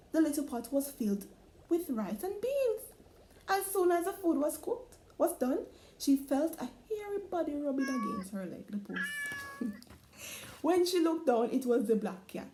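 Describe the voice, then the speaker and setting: A woman with a Caribbean accent reading a folktale aloud, expressive and prone to laughs and asides. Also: sits high, roomy sound, poor audio. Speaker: storyteller.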